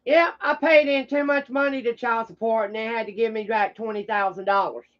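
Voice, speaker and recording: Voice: medium pitch, fairly even intonation, brisk, dull audio. A streamer talking off the cuff to viewers in a blunt, combative, swearing manner. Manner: blunt, combative, foul-mouthed. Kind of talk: casual rambling livestream talk to viewers.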